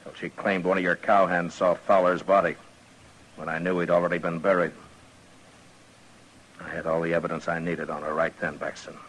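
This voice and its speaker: An actor playing a stern, weary American marshal of the Old West in 1950s radio western style, terse, commanding and grave. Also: male voice, low voice, level tone, moderate pace, pauses often, fairly bright tone. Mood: grave, accusing. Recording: noisy, dull old radio recording.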